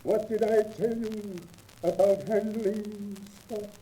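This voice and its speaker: An actor playing the spirit of a dead old father, speaking in a slow, solemn, measured way in a 1930s radio drama. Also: high-pitched, fairly even intonation, dark tone. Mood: solemn, eerie. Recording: muffled, noisy 1930s radio recording.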